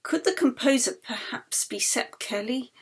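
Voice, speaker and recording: A woman author reading her own novel aloud in a measured, expressive way. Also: a little high, slightly rough. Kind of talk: audiobook narration.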